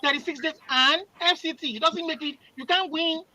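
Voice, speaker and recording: A man's voice: extremely high pitch, fairly even intonation, a little fast, pushed and bright, highs cut off. A man, a panel guest, argues insistently and leans hard on single words to make his point. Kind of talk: heated live panel discussion.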